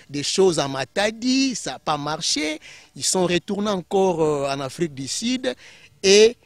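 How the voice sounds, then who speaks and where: A man from Kinshasa with a Congolese French accent, explaining as an interview guest in a halting way with many self-corrections. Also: sits high, no echo. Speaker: guest political scientist.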